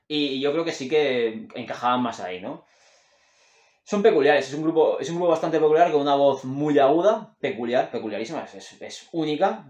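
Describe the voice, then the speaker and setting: A man's voice: medium pitch, darkish tone, lo-fi sound. Chatty man in an echoey room. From Catalonia, Spain. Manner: rambling, enthusiastic, self-correcting, gestures with his hands. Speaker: host recommending music. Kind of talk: casual chat. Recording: roomy echo in a home room.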